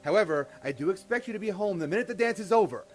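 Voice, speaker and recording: A man's voice: high-pitched, lively intonation, quick pace, faint music behind. A man playing a stern, controlling reverend father who scolds his teenage daughter, in an amateur audio drama. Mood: scolding. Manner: stern, scolding, rising to shouting.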